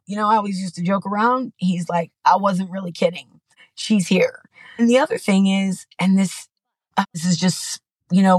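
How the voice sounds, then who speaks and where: A woman's voice: medium pitch, no room sound, rough recording. A middle-aged woman telling a personal family story as a podcast guest, candid and rambling, full of "you know" and restarts. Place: Texas.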